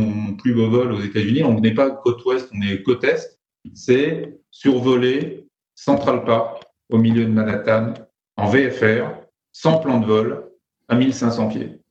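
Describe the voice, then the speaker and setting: A man's voice: medium pitch, a little mellow, dull audio. Hesitant French-accented man, roomy sound. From France. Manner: hesitant, many fillers and restarts. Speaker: private pilot guest. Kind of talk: conversational storytelling.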